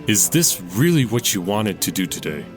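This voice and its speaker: An earnest young man, voiced by a man in a radio drama, reproachful and a little low in pitch. Clear music plays behind his lines. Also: big pitch swings, moderate pace. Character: Otto, young man breaking from his family. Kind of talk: audio drama.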